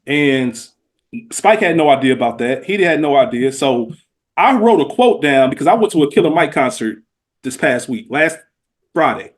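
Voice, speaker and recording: A man's voice: fairly high pitch, animated, moderate pace. A middle-aged man telling stories in casual, slangy podcast chat, stressing points emphatically and repeating words as he goes. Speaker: podcast guest.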